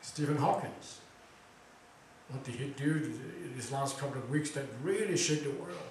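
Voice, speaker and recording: A man's voice: a little high, normal speed, a little hiss or hum. A man hosting a talk event, chatting casually and quizzing the audience with lots of fillers. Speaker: event host introducing a speaker. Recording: very echoey room recording from far off.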